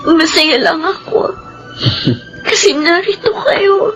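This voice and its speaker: A woman's voice: very high-pitched, slow pace, a bit bright, faint music behind, heavily acted. A woman playing a tender, ailing young daughter in a Filipino radio drama, speaking through tears. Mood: tearful joy. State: crying.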